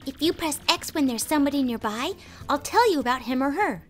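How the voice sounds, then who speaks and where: Very high, sassy young Goomba girl. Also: lively intonation, brisk. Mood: helpful. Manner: valley-girl slang, exclaiming. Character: perky young female Goomba student, sassy, excitable. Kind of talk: video game dialogue voice acting. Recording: game music behind the voice.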